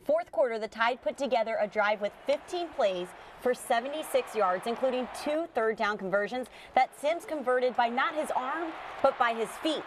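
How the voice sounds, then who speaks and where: Higher-pitched American woman presenting sports. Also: normal speed, hardly any pauses, noisy background. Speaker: sports co-anchor. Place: TV studio.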